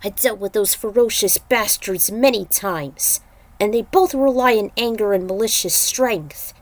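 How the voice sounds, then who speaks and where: Grim fantasy warrior, voiced by a woman, forceful, slightly raised pitch, dry sound. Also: unhurried, no echo, lightly acted. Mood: grim warning.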